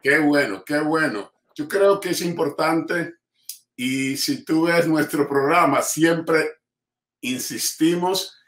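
A man's voice: fairly high pitch, slow, fairly bright tone. A man hosting a community TV interview from home over a video call, speaking emphatically with frequent fillers. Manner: emphatic, rhetorical, hesitant fillers. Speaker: TV host. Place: home room with bookshelves. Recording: roomy echoey home room.

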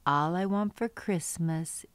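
A middle-aged woman hosts a storytime for little kids. She takes her time, stretching key words with expressive emphasis. The sound is dry, with very little room in it. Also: on the low side, slow pace, clear, resonant tone, dry recording. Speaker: children's storytime host. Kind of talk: read-aloud storytelling for young children.

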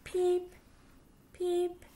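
Woman acting storybook baby duckling, tiny, restless, very high-pitched, repetitive insistent peeping. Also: monotone, very slow, dark tone, a little hiss or hum, full character voice. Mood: restless, chirpy.

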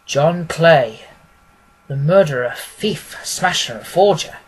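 Man putting on a pompous, husky police agent. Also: on the high side, lively intonation, slow, full character voice, low-quality recording. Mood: grudging admiration. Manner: pompous, consequential. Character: self-important male police agent, blustering and lofty.

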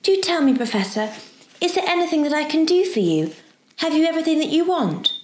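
A woman's voice: high voice, big pitch swings, a little fast, pitched above the performer's own voice. Lady doing a cheery little girl for an audiobook, gushing and helpful, bit echoey. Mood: eager, polite. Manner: polite, gushing, eager to please. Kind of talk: audiobook character voice.

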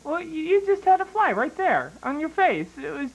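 A woman's voice: high-pitched, very animated, moderate pace, rough recording. A woman playing a pushy, bratty kid in an improvised comedy sketch, rambling and wheedling. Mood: coaxing. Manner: rambling, sing-song, wheedling.

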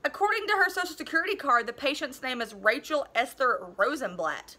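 A woman's voice: high voice, lively intonation, a little fast, bright, forceful tone, heavily acted. A woman voicing an earnest, sheltered thirteen-year-old boy in a storybook, literal and a little defensive.

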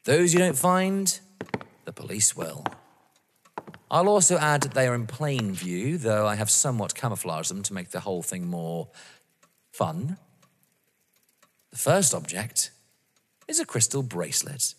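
A man's voice: lowish voice, sing-song, unhurried, pauses often. Actor as a taunting young man, wildly swooping voice. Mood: gloating. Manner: mocking, theatrical, savouring each word. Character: taunting, vengeful young man playing a deadly game. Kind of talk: audio drama acting. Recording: reverberant hall-like room.

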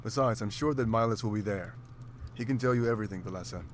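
An actor playing a big, gruff, pragmatic male wolf-like warrior in a video game, serious and firm. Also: on the low side, quick pace, slight background noise, lightly acted. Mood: urgent, firm.

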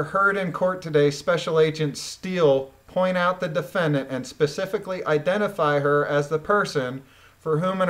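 A woman gives critical commentary as she reads through a document. She speaks bluntly and with emphasis, sounding indignant about what she is presenting. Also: deep voice. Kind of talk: reading a court transcript aloud with critical commentary.

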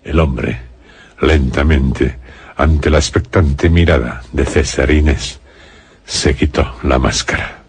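A man narrating a scripted radio horror story in a grave, deliberate manner, with dramatic pauses. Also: extremely low pitch, husky.

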